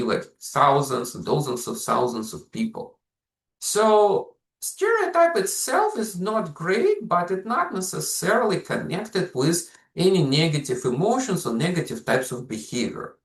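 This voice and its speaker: A Russian-accented man lectures on psychology with a lively, rising-and-falling delivery. He explains things step by step, often pausing on "so" and "uh". The recording carries a noticeable roomy echo. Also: on the high side. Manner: explanatory, uses frequent "so", "uh" and "like". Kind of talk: university lecture.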